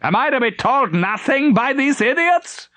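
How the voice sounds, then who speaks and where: A man's voice: extremely high pitch, big pitch swings, moderate pace, bright, forceful tone, dry recording, voice pushed up, full character voice. A man voicing an enraged, contemptuous German admiral with a biting, imperious manner in an audiobook. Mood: furious, scornful.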